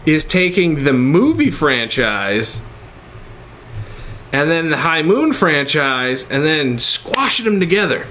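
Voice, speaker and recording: A man's voice: on the high side, big pitch swings, slow pace, sharp tone. A man in his thirties chatting casually as co-host of an entertainment show. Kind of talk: casual chat about video games. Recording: noisy room recording.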